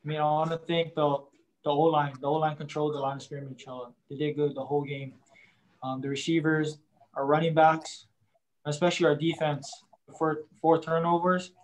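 A young man, a college quarterback, answering post-game press questions in a halting way, leaning on "I mean" and crediting his teammates. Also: fairly high pitch, little pitch movement, low-quality recording. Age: young adult. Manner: halting, starts nearly every phrase with "I mean".

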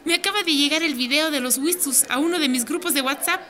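A woman's voice: high-pitched, fast, sharp tone. A woman playing a direct, earnest women's rights advocate in a radio drama, speaking insistently. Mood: reassuring, determined.

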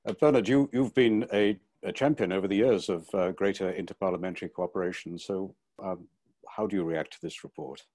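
A man's voice: low voice, fairly quick, mellow. A man in his sixties chairing an online panel and handing over to speakers. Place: indoor study lined with books. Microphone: video call, computer microphone.

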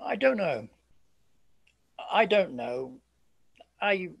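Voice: mid-range voice, sing-song, very slow, gravelly, husky, no room sound, heavily acted. An actor playing a weary, haunted old sergeant major in a radio play, with guarded, ominous, old-fashioned courtly speech. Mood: grim, haunted.

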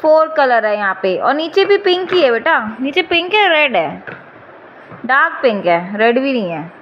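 A woman's voice: high-pitched, big pitch swings, a little slow, highs cut off. Woman vlogging over background noise. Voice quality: slightly hoarse. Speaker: home vlogger and mother. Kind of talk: casual vlog chat. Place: home, rooftop lawn and indoor rooms. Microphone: phone at arm's length or nearby. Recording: phone recording with background noise.

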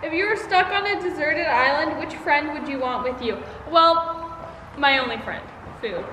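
A woman's voice: sits high, moderate pace, bright, forceful tone. A young woman chatting casually during a family game in a large indoor arena. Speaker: family vlog participant. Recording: echoey indoor arena, noisy ambience.

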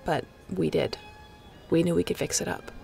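A woman playing a guarded, wry spaceship captain in an intimate sci-fi audio roleplay, with a gravelly voice and dry, teasing, conversational delivery. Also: on the low side, steady tone, moderate pace, mellow, faint music behind. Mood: reflective storytelling. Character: guarded, wry female spaceship pilot captain, softening toward an old friend.

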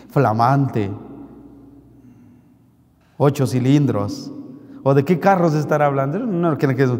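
A middle-aged man with a Mexican accent, a priest giving an animated homily at Mass, joking and scolding with rhetorical questions into a handheld mic. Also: medium pitch, slow pace, long pauses. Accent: Mexican Spanish. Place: large church, indoors. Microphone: handheld microphone. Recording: long church reverb.

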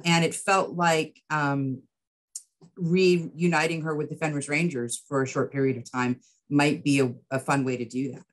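A middle-aged woman answering interview questions as a guest on a video call, thoughtful and measured, with pauses. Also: deep voice, fairly even intonation. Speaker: guest writer and producer. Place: indoor room with bookshelves, video call.

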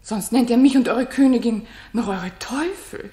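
A woman acts a witty queen in a 1960s German radio play. She speaks eloquent verse in a highish voice, playful and courtly. The sound is roomy. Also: normal speed, dark tone, close to an everyday voice. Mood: teasing. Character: gracious, teasing, witty queen of Sicily.

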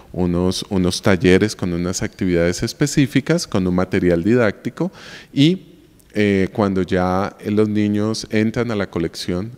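A man's voice: on the low side, a little mellow, big-room sound. A man in his forties, a university lecturer, giving reflective interview answers and checking in with the listener as he explains. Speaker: university lecturer and museum guide. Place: indoor room of a colonial house museum. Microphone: handheld mic held by interviewer.

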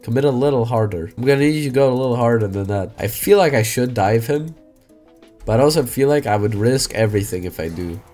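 A young man talks through his match in a loose, chatty way, breaking into loud, cursing outbursts. His voice sits at an ordinary middle pitch for a man. Faint music hums under him. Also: fast. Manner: loud swearing outbursts, excitable. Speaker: game streamer. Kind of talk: casual gaming commentary. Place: small room indoors. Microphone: headset.

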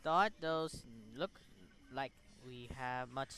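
Bright, slow-paced man doing gaming commentary. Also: mid-range voice. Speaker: gaming video host. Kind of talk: let's play commentary, reading game text aloud.

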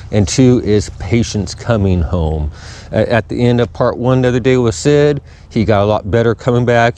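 A man who trains horses, talking calmly with many fillers while riding on a wooded outdoor trail, recorded on a head-mounted action camera. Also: mid-range voice. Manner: calm, plain-spoken, frequent fillers.

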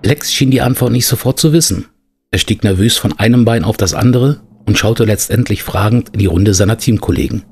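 A man's voice: fairly low pitch, fairly quick, a little mellow, natural voice. A man playing the composed storyteller of an adventure audio drama, reading scripted narration.

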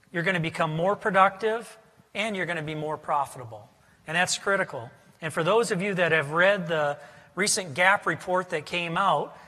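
A man's voice: high voice, bright, forceful tone, in a large hall. A man in his forties speaking as a panelist, explaining with confident corporate assurance. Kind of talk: panel discussion. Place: conference stage in a large hall. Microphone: lapel.

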